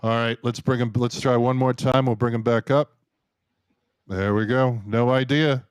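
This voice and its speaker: A man's voice: medium pitch, steady tone, normal speed, clean tone, dry recording. A man hosting a live online Q&A, loose and casual, swearing and laughing easily. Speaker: host and site founder. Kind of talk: casual live stream chat.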